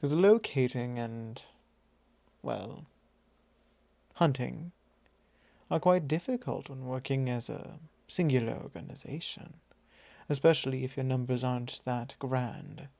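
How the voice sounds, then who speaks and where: A man acts out a vampire lord in an intimate ASMR roleplay, teasing and quietly possessive as he probes his thrall. His voice is soft and dark with a gravelly fry, and he drawls his formal words very slowly. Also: mid-range voice, dry recording. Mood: probing, quietly possessive.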